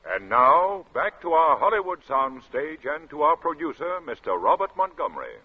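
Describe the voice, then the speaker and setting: A man's voice: a little high, very animated, moderate pace, slightly rough. A man announcing from a script in 1940s network radio style. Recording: tinny thin 1940s radio recording.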